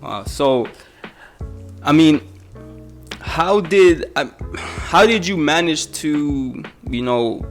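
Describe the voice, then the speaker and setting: A man's voice: fairly high pitch, drawn-out words, long pauses. Laid-back young man hosting, faint music. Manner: relaxed, many "um" and "right?". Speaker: podcast host. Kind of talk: casual interview chat.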